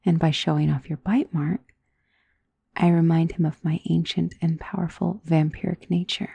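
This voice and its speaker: Husky ancient vampire lady acted by a woman, creaky, intimate, genteel, doting, possessive, ASMR roleplay. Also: deep voice, fairly even intonation, no room sound, heavily acted. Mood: calm, assured. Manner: soft, intimate, genteel, unhurried endearments, often dropping into whisper. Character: centuries-old female vampire, wealthy, doting, possessive, calmly commanding. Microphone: close microphone in a dry space.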